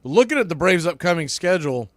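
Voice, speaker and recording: A man's voice: a little high, sing-song, quick pace, a bit bright, no echo, studio quality. A man doing live baseball play-by-play in clipped short phrases, with casual asides.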